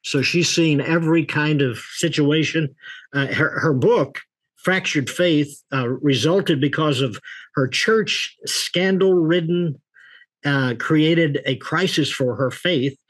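Older man, host, husky, rambling folksy delivery, video call. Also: fairly high pitch, slow pace. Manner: rambling, folksy, with many restarts and fillers. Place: office with a leather chair.

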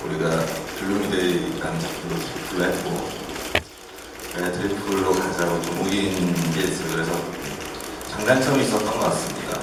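A middle-aged man, a producer, answering at a press call in a measured, halting, formal way. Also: low-pitched. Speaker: producer and theatre company head. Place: theatre stage, recorded from the audience. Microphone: handheld mic through PA, distant camera. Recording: very echoey, distant, loud noise.

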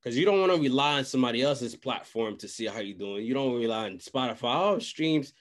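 A man's voice: medium pitch, animated, a little fast. A young man hosting a casual interview, talking informally with frequent conversational tag phrases. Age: young adult. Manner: informal, repeats tag phrases like "you know what I mean". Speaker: podcast host and interviewer. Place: small room at home, video call. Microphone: large desk microphone close to mouth.

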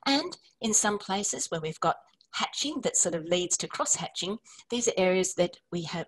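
Woman, academic presenter, lowish pitch, husky tone, measured delivery. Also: rough recording. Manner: measured, explanatory, occasional fillers. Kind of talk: conference presentation walking through slides.

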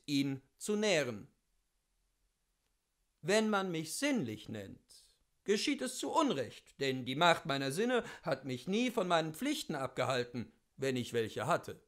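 Male audiobook reader for literary classics; notably high voice, very lively melody, theatrical and carefully articulated. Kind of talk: expressive literary audiobook reading.

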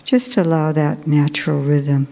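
A woman, a Buddhist nun, guiding a meditation in a slow, gentle, soft-spoken way with long pauses. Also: low-pitched, steady tone, gravelly. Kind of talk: guided meditation. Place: large cathedral. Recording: long cathedral reverb.

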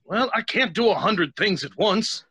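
An actor playing a gruff, flustered sheriff, husky and blustering, in old-time radio style. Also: male voice, sits high, lively intonation, normal speed, pushed and bright, dry recording, exaggerated voice. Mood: exasperated. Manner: blustering, exasperated. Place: home room, video call.

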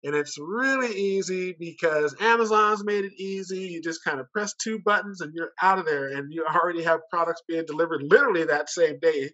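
A man with a fairly high, very bright and forceful voice gives a sales pitch at an online conference. He talks conversationally and persuasively, throwing out rhetorical questions. The sound is a slightly dull video call. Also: a little fast, few pauses. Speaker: company director giving a sales pitch. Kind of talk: informal online conference presentation.

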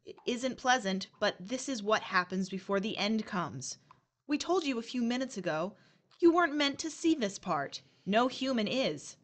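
An actress playing a cool young agent of the afterlife, patronizing and mock-soothing, in an audio horror drama. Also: female voice, a little high, expressive, moderate pace. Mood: coolly patronizing.

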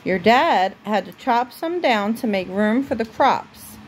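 Woman, storybook read-aloud, slow pace, deliberate pauses, motherly bedtime reading, light background noise. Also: fairly high pitch, animated, poor audio.

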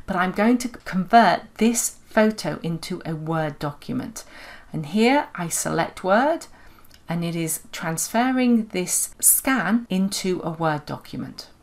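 A woman narrating an explanatory step-by-step software tutorial as its presenter. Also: mid-range voice, lively intonation, unhurried.

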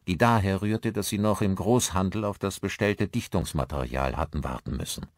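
A man reading a scripted mystery story as an audiobook narrator, with expressive, measured delivery. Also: rumbling low, dry recording, clean studio sound.

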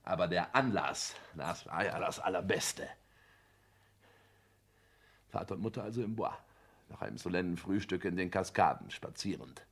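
A pompous, boastful social climber. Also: male voice, low-pitched, lively intonation, moderate pace, creaky, pauses often, fairly bright tone, full character voice. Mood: boastful, animated. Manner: pompous, declamatory, theatrical. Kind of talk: 1960s German radio play acting.

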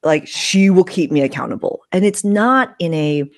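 A woman's voice: fairly low pitch, lively intonation, moderate pace, no room sound. A woman around her thirties or forties chatting casually as an interview host, blunt and emphatic, into a close microphone. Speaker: podcast host. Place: small indoor room, video call. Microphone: close desk microphone.

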